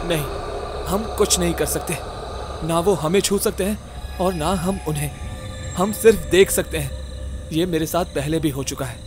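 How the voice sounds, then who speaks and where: A man's voice: on the high side, moderate pace, long pauses, over music, pitched above the performer's own voice, lightly acted. A man acting as a bold, bossy young ghost-case investigator in a comic horror audio drama, brash and scolding. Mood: calm, knowing.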